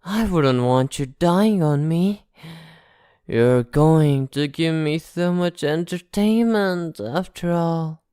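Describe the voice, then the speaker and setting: A woman playing an arrogant, possessive vampire captor in an ASMR roleplay, haughty, teasing and softly threatening. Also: deep voice, takes it slowly, clean tone, no echo, clean studio sound. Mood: possessive, gloating.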